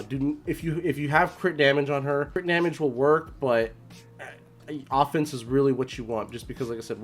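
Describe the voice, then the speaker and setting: Slightly hoarse young man, chatty gamer. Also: mid-range voice. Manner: chatty, many ums and restarts. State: slightly hoarse, recovering from illness. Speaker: game guide creator. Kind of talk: casual game strategy walkthrough. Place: home room, indoors.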